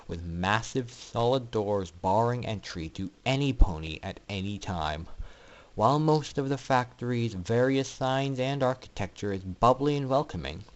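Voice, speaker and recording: A man reading a dark story aloud as a dramatic narrator, with theatrical, heavy emphasis. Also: fairly low pitch. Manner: theatrical, heavily emphatic delivery. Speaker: amateur story reader.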